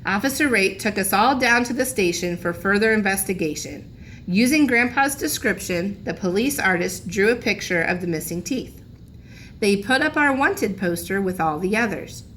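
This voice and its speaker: A woman teacher reading a children's storybook aloud in a deliberate, expressive way. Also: mid-range voice. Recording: background noise in room recording.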